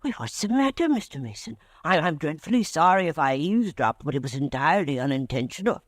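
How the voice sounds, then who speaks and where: A man's voice: fairly high pitch, sing-song, fast, no room sound. An actor playing a timid, fussy little man who stammers politely, in 1940s radio acting. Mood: nervous. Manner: stammering, polite, over-eager. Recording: dry old radio broadcast.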